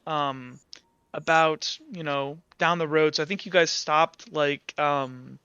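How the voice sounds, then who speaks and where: A man acting as game master, improvising narration and rulings in a halting way over an online call. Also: a little high, fairly even intonation, bright, forceful tone, smooth, no room sound, muffled recording. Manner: halting, feeling out descriptions. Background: faint music.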